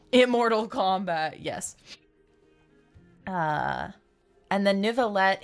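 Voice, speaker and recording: A woman streams a game and chats casually as she goes. Her voice sits a little low and swings expressively, wandering through explanations, with soft game music underneath. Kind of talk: casual live-stream chat and game commentary.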